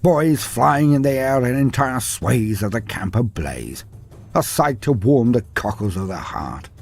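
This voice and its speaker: A man's voice: mid-range voice, animated, measured pace, creaky, darkish tone, husky. A man giving a dramatic, grandiose scripted narration in the manner of fantasy storytelling. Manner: theatrical, grandiose. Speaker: narrator of fantasy lore videos. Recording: background music under the voice. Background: music.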